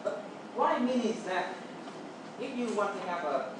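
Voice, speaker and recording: A lecturer from Vietnam explains to a class in Vietnamese-accented speech, a native speaker of Vietnamese who often checks that listeners follow. The voice is picked up from a distance in a strongly reverberant room. Clear noise sits behind the words. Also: mid-range voice, lively intonation, moderate pace. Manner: checks understanding with "okay" and "right". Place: roomy indoor space, distant mic, noise behind. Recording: echoey room from far off, noisy.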